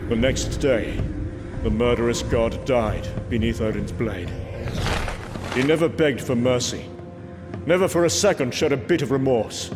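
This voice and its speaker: A man's voice: medium pitch, lightly acted. Man narrating as brooding, solemn god. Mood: haunted, solemn. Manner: solemn, measured storytelling. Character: brooding male god recalling a haunting horror. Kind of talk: first-person scripted narration. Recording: dramatic music behind the voice.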